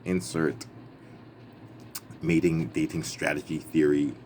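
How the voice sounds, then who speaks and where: A man's voice: a bass voice, flat delivery, slow, darkish tone. A man reading a book aloud in an emphatic, playful manner, breaking away for casual, wry asides of his own. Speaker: reader and commentator. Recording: clearly noisy background ambience.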